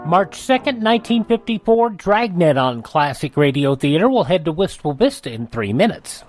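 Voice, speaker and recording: A man hosting a classic radio program, announcing in a smooth promotional manner. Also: fairly high pitch, sing-song, moderate pace, over music. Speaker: radio host.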